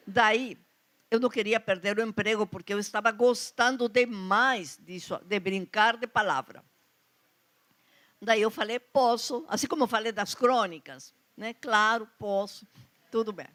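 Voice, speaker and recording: A woman's voice: mid-range voice, a little slow. A woman with a strong foreign accent speaking Portuguese, telling anecdotes on stage at a literary panel and acting out the dialogue she quotes. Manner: anecdotal, animated quoting of dialogue. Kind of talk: storytelling at a literary talk. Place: festival stage before an audience.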